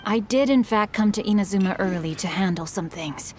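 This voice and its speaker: A woman's voice: mid-range voice, brisk, soft background music, lightly acted. A woman voicing a polite, bookish young male swordsman and novelist in a video game, speaking in a courteous, formal way. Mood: flustered.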